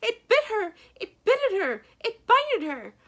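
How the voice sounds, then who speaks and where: A woman voicing a panicked, babbling male banker in a storybook read-aloud, shrill and exclamatory. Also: very animated, exaggerated voice. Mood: frantic alarm. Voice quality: shrill, high-pitched. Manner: exclamatory, rapid-fire babbling.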